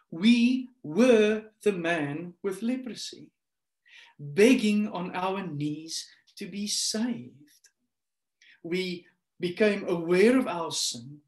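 A man in his thirties, a pastor giving a sermon over a webcam from a small room, climbing to loud emphatic peaks and dropping to a soft prayer. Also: high-pitched. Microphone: webcam on a video call. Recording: echoey room.

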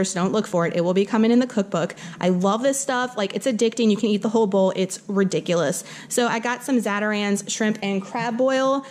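A young woman from Louisiana with a Southern accent, chatting upbeat in a casual home kitchen vlog. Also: medium pitch. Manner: chatty and upbeat, lots of "like" and "y'all". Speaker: vlogger. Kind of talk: casual grocery haul vlog.

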